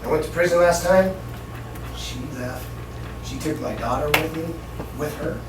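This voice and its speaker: A man's voice: a little high, normal speed. A middle-aged man talking frankly and bluntly to students at a school assembly. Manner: candid, blunt, plain-spoken. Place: school hall. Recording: echoey hall from far off, noisy room.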